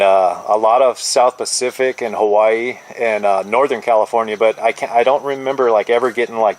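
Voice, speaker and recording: A man's voice: mid-range voice, poor audio. A middle-aged man chatting casually as a radio operator, using laid-back radio lingo, heard over a two-way radio.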